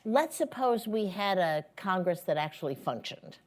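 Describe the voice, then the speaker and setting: A woman moderating a live panel discussion, putting questions to the speakers in a conversational, deliberate way. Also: mid-range voice, lively intonation. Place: live panel event before an audience.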